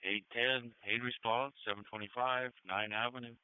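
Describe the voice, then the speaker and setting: Male fire dispatcher, mid pitch, smooth and clipped, narrowband radio transmission. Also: steady tone, moderate pace, dry recording. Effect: two-way radio.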